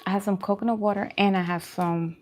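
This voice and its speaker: A woman's voice: medium pitch, fairly even intonation, fast, darkish tone, a little hiss or hum. A woman hosting a food channel, chatting casually and informally with viewers during a mukbang livestream.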